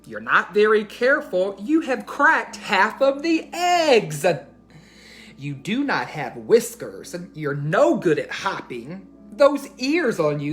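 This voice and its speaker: A woman voicing a small male mouse in a children's storybook, squeaky and brisk, bossy but kindly. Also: fairly bright tone. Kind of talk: children's storybook read-aloud.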